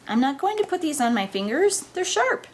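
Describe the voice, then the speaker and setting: A woman's voice: a little high, very animated, a little slow, slight background noise. A woman voicing a reluctant, wary, grumbling teenage boy in a story reading.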